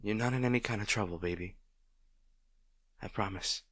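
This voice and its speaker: Man acting as a tender, low-voiced boyfriend. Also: moderate pace, a bit bright, no echo, studio quality. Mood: tender, soothing. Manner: tender, soothing, reassuring. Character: gentle, protective boyfriend comforting a distraught partner. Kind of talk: intimate roleplay audio acting.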